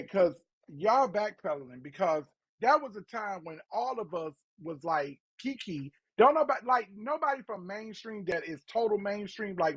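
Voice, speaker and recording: A man argues on a panel in an emphatic way. His high voice is husky and swings up and down a lot. The sound is dry, dull and lo-fi. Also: dry recording. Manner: emphatic, animated. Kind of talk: heated panel argument.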